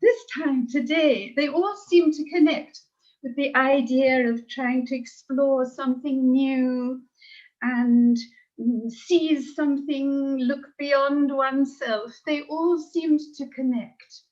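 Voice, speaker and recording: A woman's voice: high-pitched, fairly even intonation, slow pace, darkish tone, lo-fi sound. A woman with a standard British accent giving a contest judge's comments, emotional and rambling, laughing often. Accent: standard British English. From Britain. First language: English.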